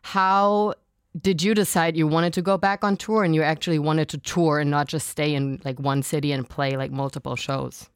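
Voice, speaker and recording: A woman's voice: deep voice, fairly even intonation, normal speed, smooth, dry recording, clean studio sound. A woman who is a native German speaker, hosting a celebrity interview in a chatty, enthusiastic way. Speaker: radio interviewer and host.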